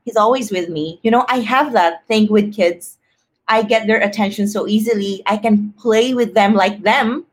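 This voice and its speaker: A woman's voice: medium pitch, moderate pace. A woman talking casually and personally as a podcast guest, expressively acting out her own thoughts as quotes.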